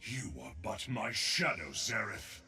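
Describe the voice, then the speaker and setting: A man voicing a proud, commanding bird emperor of the desert in a video game, declaiming in a stately, pompous way with a raspy voice. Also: lowish voice, very animated, a little slow, pushed and bright, soft background music, heavily acted. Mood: scornful menace. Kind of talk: video game character voice lines.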